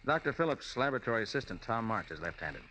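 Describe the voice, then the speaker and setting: An actor playing a shrewd, decisive private detective in 1940s radio drama style, with a brisk, commanding, clipped manner, on an old recording. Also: male voice, mid-range voice, big pitch swings, bright, forceful tone, a little hiss or hum, poor audio. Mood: brisk, assured.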